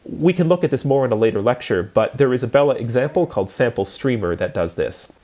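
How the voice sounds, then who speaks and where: Guy teaching a programming lecture, medium pitch, explains stuff step by step. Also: expressive, fast talker, dark tone. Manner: explanatory, methodical.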